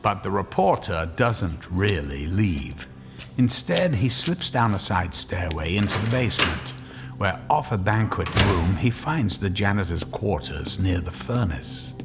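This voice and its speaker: A man's voice: low voice, sing-song, a little slow, loud music behind the voice. A man narrating an audio drama in a scripted, dramatic storytelling style.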